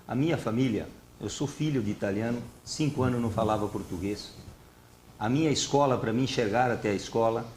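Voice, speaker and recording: A man's voice: fairly low pitch, roomy sound, slight background noise, rough recording. A man who is a police colonel, speaking earnestly as a guest on a studio panel debate and arguing through rhetorical questions.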